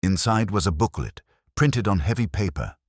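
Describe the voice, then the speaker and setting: A man reading a scripted mystery story as narrator, measured and dryly understated. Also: low voice, animated, husky, no room sound, clean studio sound.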